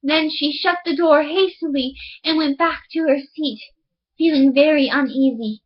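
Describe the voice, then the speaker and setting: A woman's voice: sits high, normal speed. A woman reading a fairy tale aloud as a storyteller, recorded with slightly dull, lo-fi sound.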